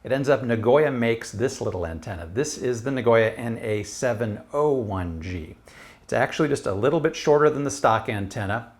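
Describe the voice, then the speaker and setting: A man's voice: mid-range voice, lively intonation, normal speed. A middle-aged American man giving a casual, explanatory product review to camera in a conversational way. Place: small room at home. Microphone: lapel mic.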